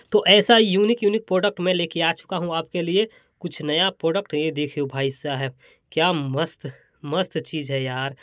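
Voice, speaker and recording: A man's voice: on the high side, fairly bright tone. A man hosting a casual hands-on product showcase, chatty and exclamatory, often repeating his phrases as he demonstrates. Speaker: toy and gadget review host.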